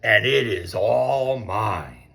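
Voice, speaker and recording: A man voicing a big, greedy, boastful bear in a children's storybook, growling and bellowing slowly and menacingly. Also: fairly low pitch, vocal fry, fairly bright tone, slightly rough, full character voice. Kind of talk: children's storybook read-aloud.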